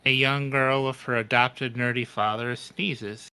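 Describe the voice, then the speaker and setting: Bright, crisp-voiced man thinking aloud. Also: mid-range voice, fairly even intonation. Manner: halting, repeats words as he thinks aloud. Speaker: tabletop game player. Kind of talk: casual roleplay and table chat.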